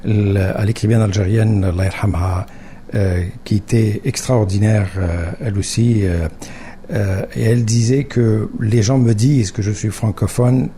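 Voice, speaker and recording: Male radio host, hesitant and full of fillers, deep dark tone. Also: steady tone, measured pace, a little hiss or hum. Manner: hesitant, many restarts and fillers. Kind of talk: radio interview. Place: radio studio.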